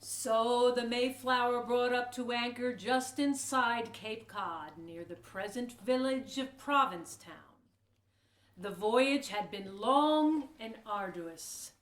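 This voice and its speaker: Female narrator, higher pitch, scripted historical storytelling, audio drama, echoey room. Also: slow pace, fairly bright tone.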